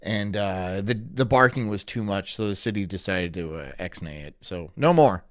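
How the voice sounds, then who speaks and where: A young man from Florida chatting casually on a live stream, rambling through jokes and deadpan absurd asides. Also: lowish voice, moderate pace, dry recording, studio quality. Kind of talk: casual live-stream chat reacting to a video.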